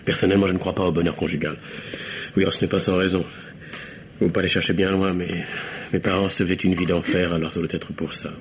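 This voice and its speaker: An actor playing a carefree young bachelor shaken by guilt, speaking haltingly and earnestly in a 1950s-style French radio drama. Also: male voice, low-pitched, flat delivery. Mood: frank, defensive. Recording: dull lo-fi old radio, noisy.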